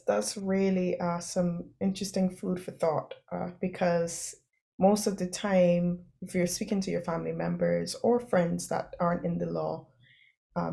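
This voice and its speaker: A woman's voice: on the low side, fairly even intonation, moderate pace, gravelly, mellow. A woman host chatting with her guest, affirming and laughing often, with many filler sounds. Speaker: podcast host. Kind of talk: interview chat.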